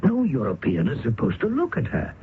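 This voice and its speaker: A nervous wry storyteller friend, lively mid-pitched voice, tinny old radio with music. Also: male voice, a little fast, background noise. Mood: persuading. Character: wary male writer friend, cautious narrator. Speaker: narrator. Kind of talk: 1970s radio drama narration and acting.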